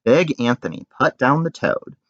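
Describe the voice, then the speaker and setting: Very animated man reading a storybook. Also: mid-range voice, moderate pace, no room sound, lo-fi sound. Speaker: storybook reader. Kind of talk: expressive read-aloud narration for children.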